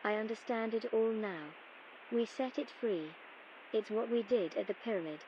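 A woman playing an earnest, composed archaeologist recording measured, articulate expedition audio logs for a game. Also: on the high side, highs cut off, slight background noise, lightly acted. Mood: grave, resigned. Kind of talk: scripted audio-log narration, game voice acting.

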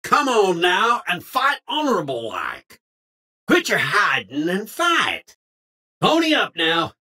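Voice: mid-range voice, wildly swooping pitch, slow, pushed and bright, breathy edge, full character voice. A voice actor playing a feisty folksy cowboy in a video game, speaking in an exaggerated frontier drawl full of old Western slang. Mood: taunting. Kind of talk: video game character voice lines.

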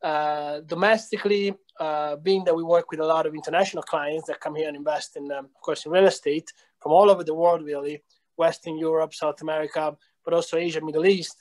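Italian-accented man, hesitant, a bit high. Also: fairly even intonation, a little fast, a little mellow. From Italy. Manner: hesitant, many fillers and restarts. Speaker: real estate company founder. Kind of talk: video call interview. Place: small room indoors. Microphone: video call.

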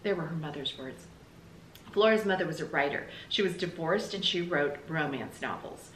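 A woman's voice: low voice, normal speed. American woman reading aloud, roomy sound. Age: middle-aged. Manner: animated, explanatory, emphatic. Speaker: teacher reading aloud to schoolchildren. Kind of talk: expressive children's story read-aloud. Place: small indoor room with bookshelves. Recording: roomy echo, faint background noise.

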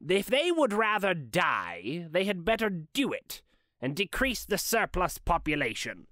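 A man's voice: sits high, huge pitch swings, a little slow, bright, forceful tone, no echo, clean studio sound, pitched above the performer's own voice, exaggerated voice. A man voicing a cold, grudging old miser in a dramatic storybook reading, curt and scornful.

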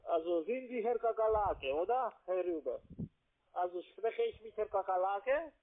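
A man with a foreign accent speaking non-native German in halting phrases, insistently working a sales call as a call-center trader. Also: high-pitched, animated. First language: not German. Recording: tinny narrowband phone line.

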